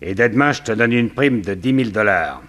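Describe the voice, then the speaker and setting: A man playing a menacing, excitable gang boss in a 1960s French radio crime serial, bossy and theatrically exasperated. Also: lowish voice, a little fast. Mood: threatening, bargaining.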